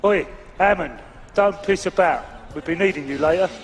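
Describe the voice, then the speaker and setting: An actor playing a menacing, cocky London gangster in a video game, with a taunting, swaggering manner. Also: male voice, sits high, normal speed, lightly acted. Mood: commanding. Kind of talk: video game cutscene acting.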